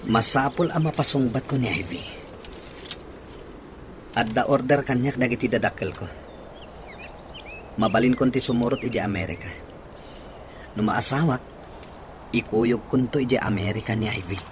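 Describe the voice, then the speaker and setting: A man's voice: mid-range voice, normal speed, pauses often, a little hiss or hum, natural voice. An actor with an Ilocano accent playing an earnest, devoted young man in a radio drama. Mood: confiding.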